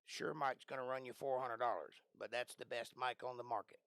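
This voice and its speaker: Raspy guy losing his voice, rambling live stream chat from his bedroom, close dry mic, keeps clearing his throat. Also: medium pitch, steady tone, fairly quick, a bit bright, no echo. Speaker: livestream host. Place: small bedroom. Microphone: close desk microphone.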